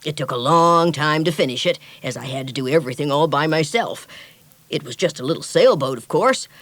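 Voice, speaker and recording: Woman putting on a hearty sea captain, boastful, booming, stout, storybook father, dry close sound. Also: animated, brisk, fairly bright tone, dry recording. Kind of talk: storybook reading.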